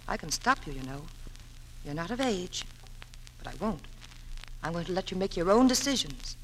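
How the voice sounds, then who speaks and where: Heartbroken mother, 1940s radio melodrama, earnest and halting, very lively pitch. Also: a little hiss or hum, poor audio. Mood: pleading, hurt. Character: heartbroken but gentle mother abandoned by her children. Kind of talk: 1940s radio drama acting. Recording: vintage 1940s radio broadcast, music.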